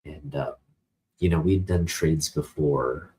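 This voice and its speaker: An American man chatting casually and unscripted as a vlog host, with a husky voice and a halting, rambling delivery as if thinking aloud. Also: extremely low pitch, darkish tone. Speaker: host of a comic book channel.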